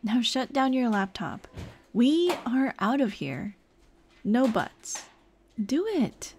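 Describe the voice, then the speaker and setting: A young woman voicing a dead girlfriend's caring, gently nagging ghost in an ASMR roleplay drama, tender and coaxing. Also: fairly high pitch, sing-song, slow pace, a little hiss or hum, exaggerated voice. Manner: tender, coaxing, soft-hearted scolding. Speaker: roleplay voice actress.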